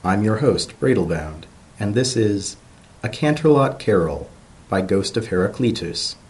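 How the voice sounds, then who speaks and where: Low-voiced, animated male story narrator. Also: a little slow, poor audio. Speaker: host and narrator. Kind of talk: dramatic scripted story narration.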